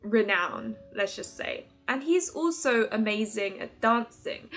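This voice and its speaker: A woman in her twenties giving a casual, chatty game review to camera in an animated, giggly manner. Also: medium pitch. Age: 20s. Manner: animated, giggly, hand-gesturing. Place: small furnished room at home, indoors. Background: music under the voice.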